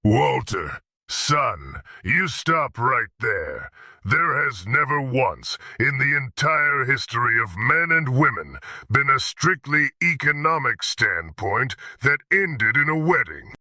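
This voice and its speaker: A man playing a boastful, folksy country husband in an audio drama, with emphatic, drawn-out delivery. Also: medium pitch, sing-song, pushed and bright, no echo, studio quality, heavily acted.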